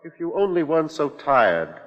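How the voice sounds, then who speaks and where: An actor playing a patronizing doctor in a 1950s radio suspense drama, measured and formal. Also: male voice, fairly high pitch, big pitch swings. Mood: condescending. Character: smooth, patronizing doctor in a nightmare tribunal. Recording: dull echoey 1950s radio recording.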